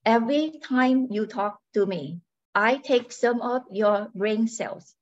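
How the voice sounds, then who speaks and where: A robot wife acted by a woman in a radio drama, unhurried and courteous. She states her need to be human with cool, apologetic logic. The sound is slightly dull and lo-fi. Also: a little high, slight background noise. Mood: matter-of-fact, insistent. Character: polite robot wife draining brain cells to become human. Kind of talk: radio play acting.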